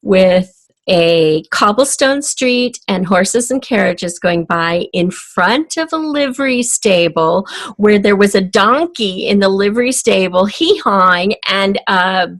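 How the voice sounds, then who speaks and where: A woman with a mid-pitched voice speaks at an unhurried pace in an interview. The recording is dry, and she explains things in a conversational, storytelling way. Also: lively intonation, fairly bright tone, dry recording. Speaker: writer of an audio drama.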